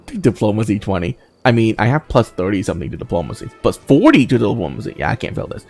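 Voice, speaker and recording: A man's voice: lowish voice, sing-song, moderate pace, a little mellow, faint music behind. A man hosting a game playthrough, casually commenting and reading the story text aloud. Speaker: gaming video host.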